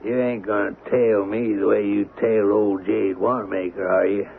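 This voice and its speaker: An actor playing a brash, ruthless Old West gambler in a radio drama, gravelly, mocking and blustering with a folksy drawl. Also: male voice, on the low side, flat delivery, normal speed. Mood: mocking, amused. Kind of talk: 1970s radio drama acting. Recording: narrow-band vintage radio recording with faint hiss.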